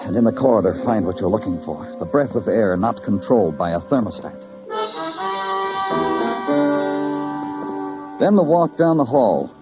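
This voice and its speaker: Man as brooding detective narrator, low voice, hard-boiled poetic phrasing, clipped, world-weary, old radio drama, prominent music. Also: lightly acted. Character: moody, world-weary male New York police detective narrating. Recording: dull old radio recording, loud music.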